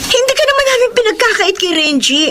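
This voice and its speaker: Very high-pitched, jealous Filipina wife. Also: female voice, big pitch swings, fast, sharp tone, a little hiss or hum, exaggerated voice. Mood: tearful pleading. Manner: tearful, accusing, emphatic. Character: jealous, hurt wife pleading with her husband. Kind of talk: Filipino radio-style melodrama acting.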